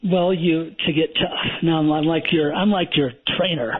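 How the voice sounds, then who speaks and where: A man speaks over a thin, tinny phone line, his voice a touch higher and husky. He explains his views bluntly and emphatically, like an instructor lecturing a class. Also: fairly even intonation, normal speed. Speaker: survival instructor, guest. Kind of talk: phone-in radio interview.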